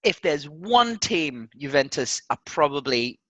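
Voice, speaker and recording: Male, lively intonation, dry close sound, casual matey football chat, repeats himself. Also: a little high, unhurried, no echo, low-quality recording. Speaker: football podcast co-host.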